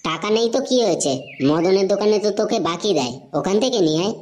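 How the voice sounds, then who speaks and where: A woman voicing a crude, excitable young male slacker in a comedy cartoon, with slangy banter. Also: medium pitch, quick pace, slight background noise, lightly acted. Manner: foul-mouthed, slangy banter.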